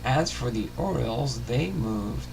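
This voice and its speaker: A man hosting a baseball history series, reading a biography aloud in a halting way, stumbling and rereading words. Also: medium pitch, expressive, creaky, background noise.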